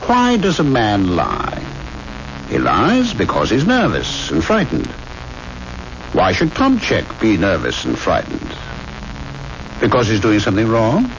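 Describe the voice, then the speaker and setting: Smooth menacing lieutenant, wildly swooping intonation. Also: male voice, lowish voice, full character voice. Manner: insinuating, interrogating with rhetorical questions. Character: menacing, smoothly insinuating military lieutenant, a grown man. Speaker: actor in radio drama. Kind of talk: 1970s radio drama acting. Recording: muffled, noisy old radio broadcast.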